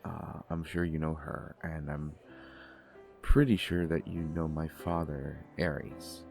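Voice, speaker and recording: A man playing a shy, gentle young god of desire in an intimate audio date roleplay, speaking politely with many hesitations and stammers. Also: rumbling low, steady tone, takes it slowly, gravelly, faint music behind, full character voice. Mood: modest, earnest.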